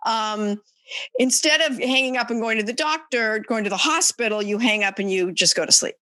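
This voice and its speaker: A woman's voice: a little high, moderate pace, bright, forceful tone, no room sound. A woman hosting a podcast, interviewing conversationally and repeating phrases for emphasis. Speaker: podcast host.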